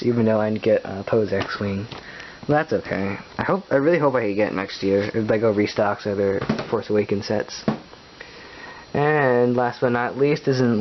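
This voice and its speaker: Young man, late teens, rambling casual vlog talk, fillers and chuckles, dull lo-fi sound. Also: lowish voice. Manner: chatty and rambling, many fillers and restarts, chuckles often. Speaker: vlogger. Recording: dull lo-fi recording with faint hiss.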